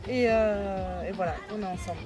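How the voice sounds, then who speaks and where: A woman sums up her volunteer stay in a casual way, pausing on fillers. Clear music plays behind her. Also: medium pitch, fairly even intonation, a crowd in the background. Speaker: former volunteer. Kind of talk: casual testimonial.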